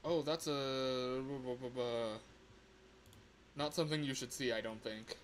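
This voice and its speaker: A man hosting a casual livestream, rambling in an animated way, exclaiming and often breaking off or repeating his words. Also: mid-range voice, a little slow, slight background noise. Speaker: livestream host, game developer. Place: room at home.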